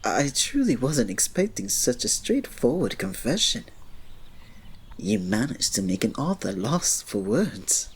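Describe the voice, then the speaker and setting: Guy doing a shy reserved servant to royalty, asmr roleplay, slow n husky, super polite and formal. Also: medium pitch, exaggerated voice. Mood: shy, tender. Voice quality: soft, breathy. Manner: soft, polite, formal, restrained, often dropping to a breathy murmur.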